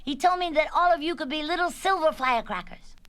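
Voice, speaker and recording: Woman voicing an excitable, high-pitched bossy little girl. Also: fast. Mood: excited, proud. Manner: excitable, declaiming, dramatic. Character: bossy, imaginative little girl putting on grand pretend names. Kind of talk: children's storybook character voice.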